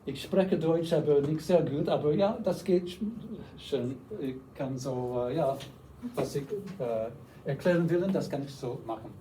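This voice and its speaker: A man's voice: a little high, a little slow, mellow, recorded from a distance, a little hiss or hum, poor audio. An older man from Canada giving a teacher workshop, enthusiastic and often checking in with "okay?". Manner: enthusiastic, tags lines with "okay?". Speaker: workshop presenter.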